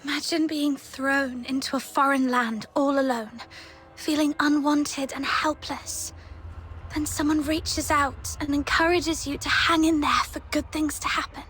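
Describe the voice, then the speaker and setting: A woman's voice: high-pitched, fairly even intonation, unhurried, fairly bright tone, slight background noise. A woman voicing an earnest, determined and protective young heroine in a video game. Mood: earnest, subdued.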